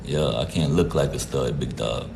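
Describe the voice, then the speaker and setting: A man's voice: extremely low pitch, normal speed, gravelly, background noise. A man from Jamaica hosting a casual live stream, greeting viewers by name in a laid-back, repetitive way. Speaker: livestream host.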